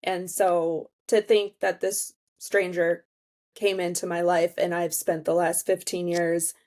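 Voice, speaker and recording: A woman's voice: on the low side, level tone, slow pace, darkish tone. A middle-aged woman hosting an interview, empathetic and affirming, sharing her own experiences. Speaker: podcast host. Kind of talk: conversational interview.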